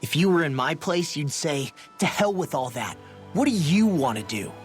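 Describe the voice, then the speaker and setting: A man's voice: on the high side, very animated, moderate pace, soft background music. A man voicing a brash, loyal young comrade in an English video game dub, talking bluntly and informally. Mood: urging.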